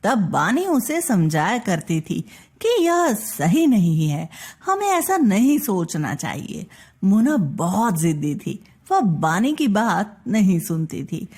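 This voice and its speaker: A woman storyteller giving an expressive scripted narration of a children's bedtime fairy tale. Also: mid-range voice, slow, breathy edge.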